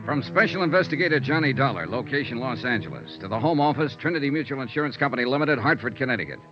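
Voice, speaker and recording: A man's voice: medium pitch, expressive, fast, close to an everyday voice. An American actor playing a tough, shrewd male investigator in a 1950s radio noir serial, with clipped first-person delivery. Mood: brisk, confident. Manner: clipped, hard-boiled delivery. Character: tough, shrewd male freelance insurance investigator, hard-boiled. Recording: muffled vintage radio recording, orchestral music.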